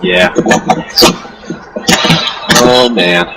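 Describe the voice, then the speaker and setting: A man's voice: deep voice, extremely slow, rough recording. A man hosting a casual wrestling chat, joking and crude as he hands out questions. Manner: joking, crude, leads the talk. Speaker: host.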